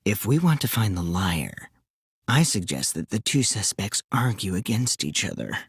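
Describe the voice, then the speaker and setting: A man's voice: lowish voice, very animated, moderate pace, slightly rough, no echo, clean studio sound, full character voice. An actor voicing a mischievous, taunting teenage boy who lies for fun in a video game, theatrical and teasing, swinging from sly to gleeful. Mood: scornful, sly. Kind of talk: animated video game voice acting.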